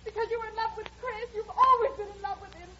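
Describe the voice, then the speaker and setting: A woman's voice: very high voice, fairly even intonation, fast talker, pushed and bright. A woman playing a distraught, bitter teenage daughter in an old-time radio drama, accusing and melodramatic. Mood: hysterical, accusing. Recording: muffled, faintly noisy vintage radio recording.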